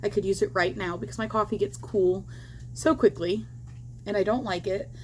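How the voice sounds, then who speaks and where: Woman, slightly hoarse, rambling casual crochet chat, home recording, faint hum. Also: mid-range voice, fairly even intonation, normal speed, darkish tone. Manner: rambling and chatty, with many fillers and little laughs. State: slightly hoarse, losing her voice. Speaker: crochet vlogger.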